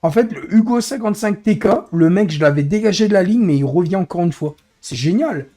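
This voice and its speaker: Hoarse man, game streamer, grumbling, exasperated, somewhat high voice, dark mellow tone. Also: expressive, fairly quick. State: hoarse, losing his voice. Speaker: streamer and racing league head. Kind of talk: live racing game stream commentary.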